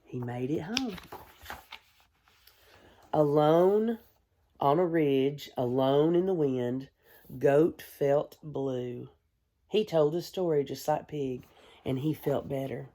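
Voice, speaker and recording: A woman, a kindergarten teacher, reading a storybook aloud to young children in a gentle, expressive way. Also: low-pitched. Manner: gentle, hushed, expressive storytelling with deliberate pauses; addresses young listeners with simple questions.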